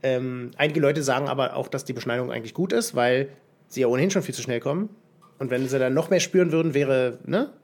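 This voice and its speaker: A man's voice: medium pitch, quick pace, darkish tone. A young man co-hosting a podcast in casual chat, hesitant, with many fillers and restarts. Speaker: podcast co-host.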